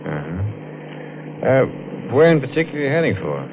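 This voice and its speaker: A man's voice: medium pitch, sing-song, a little fast, noisy background. An actor playing an edgy working-class man in his twenties in a 1950s noir radio drama, colloquial and plain-spoken. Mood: casual, uneasy. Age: 20s. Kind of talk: 1950s radio drama acting, first-person noir narration. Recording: muffled old radio recording, music behind.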